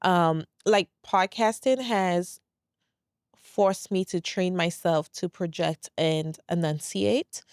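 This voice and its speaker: A woman's voice: lowish voice, takes it slowly, smooth, no room sound, studio quality. A woman in her thirties from Saint Lucia and Brooklyn, speaking with a Brooklyn accent with a light Saint Lucian trace, hosting a casual solo podcast in a candid, laid-back way with plenty of "like" and some swearing. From Saint Lucia, raised in Brooklyn, New York. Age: 30s. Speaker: podcast host.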